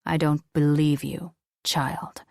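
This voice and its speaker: A woman voicing an ancient, stern male angel who speaks slowly, with grave, commanding menace. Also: low voice, flat delivery, gravelly, dark tone, no room sound, studio quality, full character voice. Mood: menacing.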